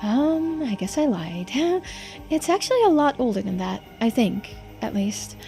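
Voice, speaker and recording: A woman's voice: on the high side, very animated, measured pace, creaky, warm tone, soft background music, voice pushed down, full character voice. A woman voicing a playful, ageless teenage girl in a video game, with a hesitant, understated and coy way of talking. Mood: sheepish. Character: immortal centuries-old girl who looks seventeen, coy, playful. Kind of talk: voiced visual novel playthrough.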